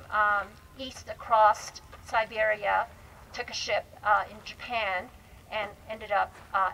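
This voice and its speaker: A woman's voice: medium pitch, bright, forceful tone, poor audio. A woman giving an informal explanatory history presentation to a live panel event, often hesitating and correcting herself. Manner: explanatory, halting with self-corrections. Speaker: panel speaker, historian and researcher. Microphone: event microphone. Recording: live event mic, faint background noise.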